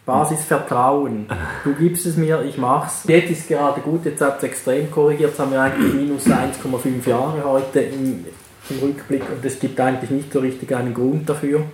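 A man's voice: medium pitch, steady tone, moderate pace, a little mellow. Assured man advising in echoey room. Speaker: investment adviser. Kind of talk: casual chat. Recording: echoey room with faint noise and clicks.

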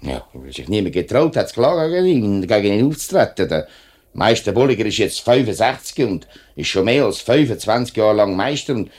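A man's voice: lowish voice, big pitch swings, a little fast, darkish tone. An actor playing a principled, plain-spoken workers' spokesman in a 1950s radio drama, earnest and firm, with a Swiss accent. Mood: indignant. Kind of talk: 1950s German-language radio drama acting.

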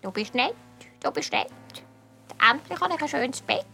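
A woman voicing a sweet, simple pet goose with a creaky, quacking voice and halting short words in a children's radio play. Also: high voice, very animated, music in the background, exaggerated voice. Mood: contented.

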